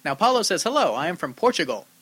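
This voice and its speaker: A man's voice: high-pitched, very animated, fairly bright tone, husky. A man co-hosting a podcast about arcade repair, chatting casually and reading out listener letters in an upbeat way. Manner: upbeat, quick, reads letters aloud. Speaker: podcast co-host.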